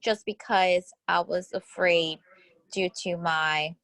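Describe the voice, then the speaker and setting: A young woman from Houston, a Spanish speaker from childhood, answers questions earnestly and thoughtfully, sometimes restarting a phrase. Her voice sits a little low for a woman. The recording is dry and close, with no room sound. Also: fairly even intonation, slow pace, a bit bright, dry recording. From Houston, Texas. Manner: earnest, reflective, with small restarts. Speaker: interviewee. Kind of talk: casual interview answers.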